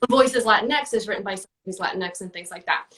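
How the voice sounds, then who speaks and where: A woman's voice: mid-range voice, fast talker, fairly bright tone, recorded from a distance. A woman answering interview questions in a casual, chatty way, with many fillers and restarts. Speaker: guest, book reviewer.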